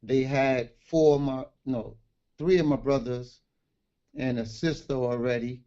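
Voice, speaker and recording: Mellow-voiced New man, lo-fi audio. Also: medium pitch, a little slow. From Brooklyn, New York. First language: Garifuna. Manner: relaxed and plainspoken, with street slang. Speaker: guest author. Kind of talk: casual interview on a livestream.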